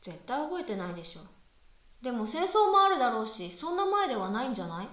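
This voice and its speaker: A woman's voice: fairly high pitch, big pitch swings, fast, a bit bright. A woman playing a skeptical, exacting young female university student with dry retorts in a conversational radio drama. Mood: reasoning, dry. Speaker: voice actress in amateur radio drama. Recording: echoey room, far from mic.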